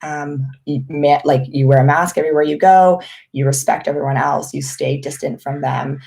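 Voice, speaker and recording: A young woman from Canada with a raspy voice, chatting casually on a live stream as she answers viewer questions, with many ums and restarts. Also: deep voice, steady tone, moderate pace, darkish tone. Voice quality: raspy, gravelly voice. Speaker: vlogger hosting a live Q&A.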